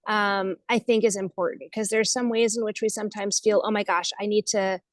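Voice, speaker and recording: A woman's voice: medium pitch, steady tone, fairly quick, no echo. Woman, American accent, thoughtful and hesitant, reflective informal webinar answers, dry recording. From United States. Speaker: guest speaker, nonprofit leader. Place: online video call.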